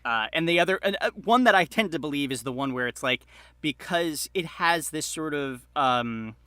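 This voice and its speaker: Bright-voiced man telling a story casually. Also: a little high, lively intonation, clear, resonant tone, no room sound. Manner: halting, rambling delivery full of hedges. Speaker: podcast guest, storyteller.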